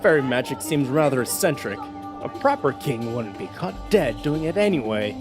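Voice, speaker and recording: A proud young king played by a man, haughty and full of bluster, his pitch swinging expressively. He grumbles his lines in a mythological audio drama with music clearly underneath. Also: very animated, moderate pace, natural voice. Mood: indignant.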